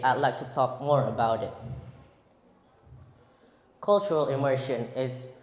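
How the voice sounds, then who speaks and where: A teenage boy from Indonesia with an Indonesian accent, giving a prepared speech at a school contest, earnest and halting, often restarting words. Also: mid-range voice. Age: teens. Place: large echoey hall. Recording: roomy hall with long reverb.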